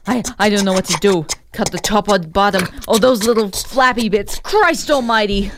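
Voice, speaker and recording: Woman playing a melodramatic, gruff bomb squad hero. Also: mid-range voice, moderate pace, a little hiss or hum, natural voice. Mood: grim, tense. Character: gruff, melodramatic male bomb defuser, American action-film hero. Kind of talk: comedy sketch acting.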